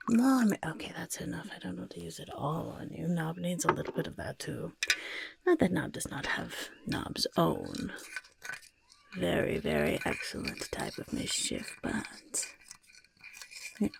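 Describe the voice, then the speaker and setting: A gravelly, half-whispered goblin, played by a woman, brewing potions in an ASMR roleplay. The voice sits a little low and is thick with fry, yet it bounces about with cheerful mischief. It chatters fussily and repeats itself in a soft, intimate murmur. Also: sing-song, a little slow, long pauses, noisy background, full character voice. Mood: gleeful. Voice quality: husky, croaky, breathy half-whisper. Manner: fussy, chattering, repeats words. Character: cheerful, mischievous goblin potion-maker speaking in third person.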